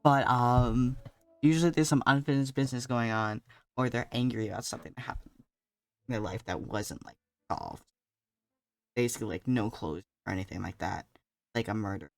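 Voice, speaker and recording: A woman's voice: rumbling low, brisk, vocal fry, pauses often, no echo. A woman streaming a game, chatting to her audience in a rambling, slangy, giggly way as she reacts. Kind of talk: casual live reaction commentary to chat.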